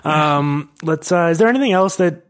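A man's voice: on the high side, animated, normal speed, no room sound, studio quality. A man hosting a podcast, talking through sports analysis in a casual, conversational way. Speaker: podcast host.

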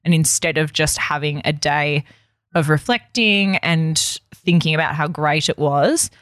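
Deep-voiced chatty woman. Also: measured pace, fairly bright tone, no room sound, clean studio sound. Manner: chatty, self-deprecating storytelling. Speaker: podcast guest. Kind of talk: casual chat.

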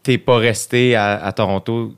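A man with a Québécois French accent hosting a podcast, asking curious, halting questions with restarts. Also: lowish voice, fairly even intonation, clean tone. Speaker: podcast host. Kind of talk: casual interview.

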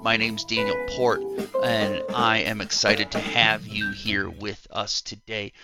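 A man's voice: on the low side, flat delivery, a little slow, loud music behind the voice. A man hosting a solo podcast while he has a head cold, so he sounds a little nasal and froggy, talking conversationally and animatedly with some restarts. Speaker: podcast host.

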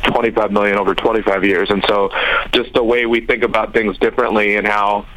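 30s guy from chicago suburbs, low voice, pretty flat, lots of ums. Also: fairly quick, low-quality recording. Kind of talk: conversational interview.

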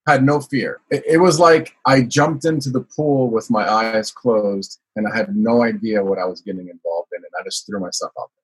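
Very husky low-voiced man telling his story. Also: moderate pace, few pauses, rough recording. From United States. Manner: rambling, frequent "you know" fillers. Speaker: guest. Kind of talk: casual interview storytelling.

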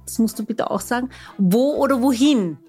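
A woman's voice: fairly high pitch, normal speed, dark tone, slightly rough, faint music behind. A young woman, a podcast host and teacher, speaking in a playfully strict, teasing tone. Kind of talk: casual podcast conversation.

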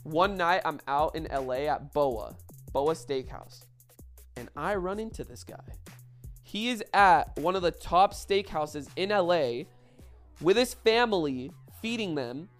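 A man's voice: a little high, lively intonation, unhurried, faint music behind. A young man hosting a podcast in casual chat, talking loosely and repeating himself into a studio mic. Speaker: podcast host.

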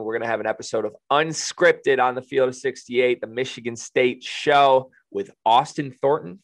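Raspy-voiced man, creaky and dry. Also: mid-range voice, no room sound. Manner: upbeat, joking, plugs upcoming episodes. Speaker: podcast co-host. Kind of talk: casual podcast chat.

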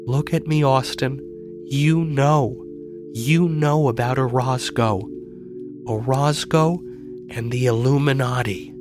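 Audiobook role: fragile, frightened young sister, pitched high, pleading and urgent male narrator acting her out. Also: expressive, slow, music in the background. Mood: fearful, conspiratorial.

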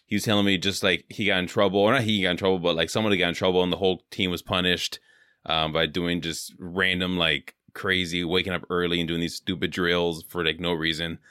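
A man's voice: low-pitched, little pitch movement, fast talker, bright, forceful tone, clean tone, no echo, clean studio sound. A young man from California hosting a podcast, chatting casually and rambling with lots of filler words. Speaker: podcast host. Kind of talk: casual interview chat.

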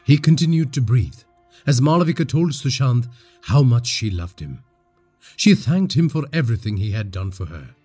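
A man from India tells a horror tale with theatrical suspense. His medium-pitched voice is husky and swings widely in intonation. Faint music sits behind the narration. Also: expressive, normal speed, a little mellow. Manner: dramatic, suspenseful delivery. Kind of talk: dramatic scripted horror storytelling.

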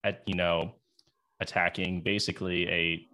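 A man's voice: sits low, level tone, normal speed, pushed and bright, clear, resonant tone. A man interviewing casually as a podcast host, thinking aloud and rephrasing as he goes.

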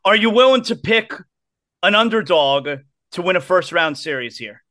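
A man's voice: high-pitched, expressive, moderate pace, sharp tone. A man hosting a sports podcast, talking in a blunt and emphatic way. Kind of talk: casual sports chat.

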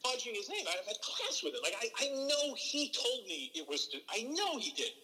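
Man, middle-aged, sportscaster, very bright tone, echoing reverb. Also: extremely high pitch, normal speed. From from New Jersey. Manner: earnest, animated, frequent restarts. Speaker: baseball play-by-play broadcaster, podcast guest. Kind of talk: relaxed interview storytelling.

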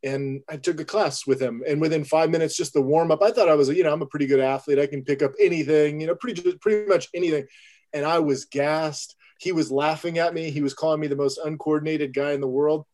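A man's voice: a little high, fairly even intonation, quick pace, a little mellow. A man who is a filmmaker and guest, telling a story with enthusiasm and animation. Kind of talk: casual storytelling in an interview.

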